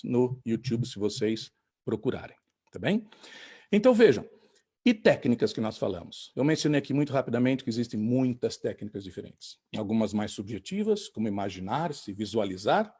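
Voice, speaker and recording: A man giving a calm, measured online talk, explaining techniques step by step. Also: medium pitch, slightly rough. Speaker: lecturer.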